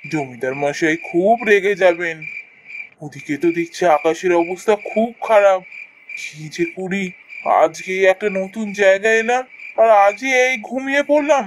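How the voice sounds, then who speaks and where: A man's voice: sits high, lively intonation, normal speed, a little hiss or hum, low-quality recording. A man voicing a good-natured, worried young village cowherd in a cartoon, musing expressively with a Bengali accent. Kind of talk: cartoon voice acting, thinking aloud.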